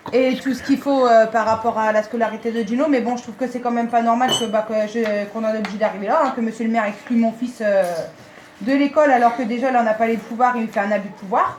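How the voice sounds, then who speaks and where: A woman on a phone call, speaking as an aggrieved parent in an insistent, detailed way. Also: fairly high pitch. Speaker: parent of a pupil. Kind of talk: phone conversation, recounting a complaint. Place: recorded telephone call. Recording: echoey phone call recording, faint noise.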